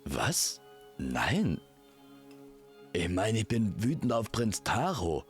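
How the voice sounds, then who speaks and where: A man's voice: lowish voice, huge pitch swings, takes it slowly, faint music behind, exaggerated voice. A man playing an indignant, commanding king and father in a fairy-tale audio drama. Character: angry, blustering royal father and king.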